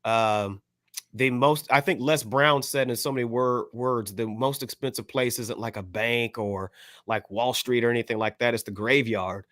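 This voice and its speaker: Man, podcast co-host, slangy casual talk, repeated phrases, mid-pitched voice, close dry sound. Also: steady tone, normal speed, a bit bright, no room sound.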